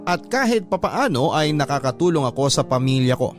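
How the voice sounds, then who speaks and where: A man's voice: on the high side, sing-song, fairly quick, faint music behind. A man narrating a heartfelt letter as scripted radio drama, earnest and reflective, recalling humble days of hard work. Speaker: narrator reading a listener's letter.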